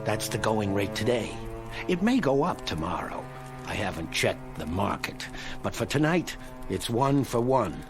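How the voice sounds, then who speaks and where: An actor playing a sadistic, greedy thief in a radio drama, with mocking, folksy, slangy taunts. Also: male voice, fairly low pitch, sing-song, measured pace, over music. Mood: cynical. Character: sadistic, greedy male thief taunting his weaker partner.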